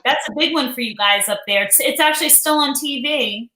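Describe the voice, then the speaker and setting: Woman, high voice, crisp bright tone, echoey room, teasing live-chat co-host. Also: a little fast, slight background noise. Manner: teasing, chatty, laughs often. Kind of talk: casual live-stream chat.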